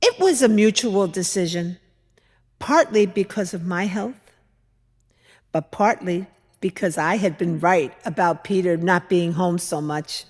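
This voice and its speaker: A woman performing a dramatic monologue as a skeptical older mother-in-law from biblical times, telling her memories in a deliberate, expressive, reflective way. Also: medium pitch. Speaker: dramatic reader at a church service. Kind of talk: dramatic monologue, measured storytelling. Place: large church interior. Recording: echoey church hall, long reverb.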